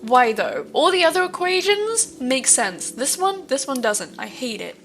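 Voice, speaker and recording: Woman streamer, stuffy nose, rambling casual chat, higher voice, crisp tone, faint music behind. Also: big pitch swings, unhurried, fairly bright tone. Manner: rambling, many fillers, swears casually. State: stuffy nose from hay fever.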